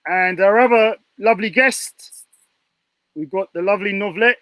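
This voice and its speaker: A man's voice: high-pitched, normal speed, sharp tone. A man hosting a panel talk show in a chatty style. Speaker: presenter and host.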